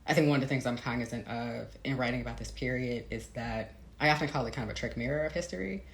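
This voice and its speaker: Female interviewee, author type. Crackly vocal fry, thoughtful and searching delivery, reverberant room sound. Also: very deep voice, fast. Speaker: interviewed novelist. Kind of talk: reflective conversational interview. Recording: roomy, echoey sound.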